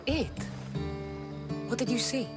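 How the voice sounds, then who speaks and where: A woman's voice: fairly high pitch, expressive, slow pace. A woman playing a tough, wry young mercenary in a video game, with a dry, assured manner. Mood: curious. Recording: music behind the voice.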